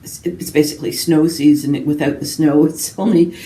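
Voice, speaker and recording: A woman talks casually to her viewers in a chatty, self-deprecating way. Her voice is very mellow and relaxed. She is recorded a little way from the microphone, in a room with noticeable echo. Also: sits low, normal speed. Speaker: book vlogger. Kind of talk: casual rambling chat to viewers. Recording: roomy echo, distant microphone.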